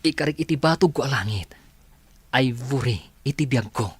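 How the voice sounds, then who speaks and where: An actor playing a persistent, earnest young suitor in an Ilocano radio drama, insistent and emotive, with an Ilocano accent. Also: male voice, mid-range voice, expressive, moderate pace, husky, dry recording. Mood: ardent, pleading.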